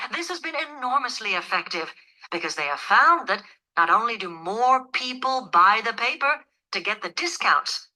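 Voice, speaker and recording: A woman delivering a scripted academic lecture in a measured, formal, explanatory manner. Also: medium pitch, pushed and bright, poor audio. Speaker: lecturer in a listening test.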